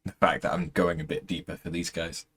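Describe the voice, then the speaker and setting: A man with a fairly deep voice giving casual, hesitant let's-play commentary, throat a bit strained from voicing characters. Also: level tone, a bit bright, slight background noise. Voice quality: relatively deep voice. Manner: hesitant, many ums, unhurried. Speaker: gaming streamer.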